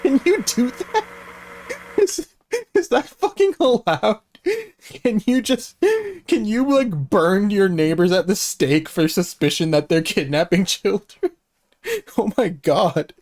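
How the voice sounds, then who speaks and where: A man's voice: high voice, lively intonation, slow pace, a little mellow. A man giving casual, sweary reaction commentary with exclamations and sarcastic asides. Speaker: YouTube reactor and reviewer.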